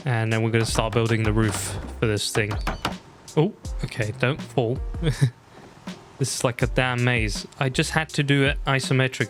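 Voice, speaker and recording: A young man talks loosely over his gameplay, with faint music playing underneath. His voice sits in an ordinary middle pitch. He laughs easily and keeps slipping in self-mocking asides. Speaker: gaming YouTuber. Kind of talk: casual let's-play commentary.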